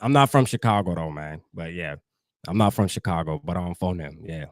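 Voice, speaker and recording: A man's voice: low voice, fairly quick, no room sound, studio quality. A man from New Jersey hosting a fan show, talking loosely and profanely straight to his live chat. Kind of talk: casual livestream sports talk.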